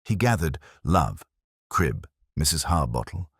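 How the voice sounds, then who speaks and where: A man's voice: rumbling low, very animated, unhurried, fairly bright tone, husky, dry recording, clean studio sound. A man narrating a scripted detective story as its storyteller.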